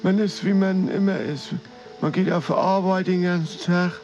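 An earnest, naive young footballer being interviewed. His voice is high for a man, his way of talking simple and halting, with music underneath. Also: steady tone, darkish tone, dull audio. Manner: plain-spoken, simple, halting. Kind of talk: mock radio and TV interview.

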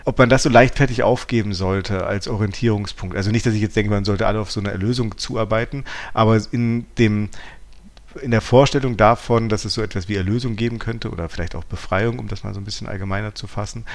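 Gravelly, lowish male podcast host. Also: fast talker. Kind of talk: reflective conversational philosophy talk.

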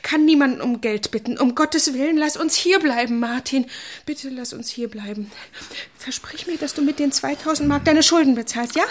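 A woman's voice: sits high, moderate pace, close to an everyday voice. An actress in a German radio play as a headstrong, anguished woman in her twenties, pleading and defiant. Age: 20s. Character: headstrong, anguished young woman in love, proud stenographer.

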